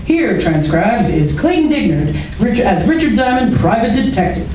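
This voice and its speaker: A woman's voice: on the high side, very animated, moderate pace. A woman announcing an old-time radio show in a scripted style. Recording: echoey, distant, slightly noisy recording.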